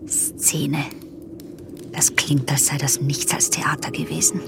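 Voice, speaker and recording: An actress playing a young woman's secretive inner voice in a radio play, musing in hushed, creaky, clipped phrases. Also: deep voice, level tone, slow, whispered, exaggerated voice. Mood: brooding, wary. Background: music.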